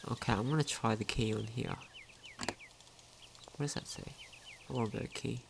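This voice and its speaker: A man's voice: medium pitch, fairly even intonation, moderate pace, gravelly, noisy background. A man doing casual let's play commentary, thinking aloud, repeating phrases and asking himself questions. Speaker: gaming commentator. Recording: game music behind the voice. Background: video game music.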